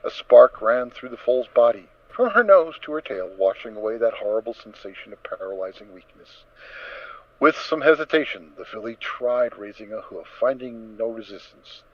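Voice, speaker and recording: A man narrating a scripted story dramatically, with measured, emphatic phrasing. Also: mid-range voice, lively intonation. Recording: dull, dry lo-fi recording.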